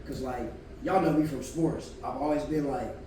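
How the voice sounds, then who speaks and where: Raspy man, thirties, laid-back podcast guest, echoey room, far-off mic, background noise. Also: medium pitch, moderate pace, dark tone. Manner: laid-back storytelling, frequent swearing. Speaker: guest musician. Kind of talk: casual podcast chat.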